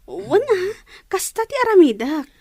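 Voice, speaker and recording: A woman's voice: extremely high pitch, sing-song, normal speed, mellow, no room sound, clean studio sound. A woman playing a grateful, dutiful young student and younger sister in an audio drama, earnest and agreeable.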